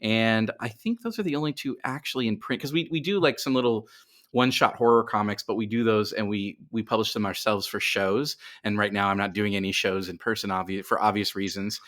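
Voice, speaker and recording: Middle-aged guy, lowish voice, casual podcast guest chat, pokes fun at himself. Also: fairly quick, fairly bright tone. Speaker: guest, writer.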